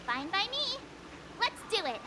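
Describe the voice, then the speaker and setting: A woman's voice: very high-pitched, very animated, a little slow, background noise, heavily acted. A woman voicing a bubbly, boastful teenage girl adventurer in a game, perky and eager.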